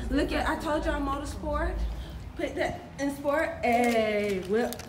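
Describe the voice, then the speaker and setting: A woman's voice: high voice, slow pace, roomy sound, background noise. A young woman in her twenties vlogging casually on a handheld phone, chatty and laughing between lines. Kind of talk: casual vlog narration. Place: busy restaurant and indoor go-kart arena. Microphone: handheld phone camera. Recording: loud crowd and music behind.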